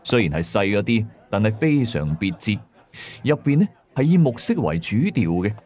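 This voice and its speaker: A man's voice: lowish voice, big pitch swings, normal speed, a little mellow. A man with a Cantonese accent narrating a scripted first-person ghost story. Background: faint music.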